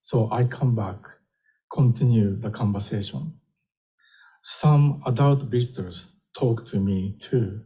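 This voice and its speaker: An older professor from Japan, giving a public lecture in a slow, gentle, wry way with small hesitations. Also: male voice, on the low side, husky, low-quality recording.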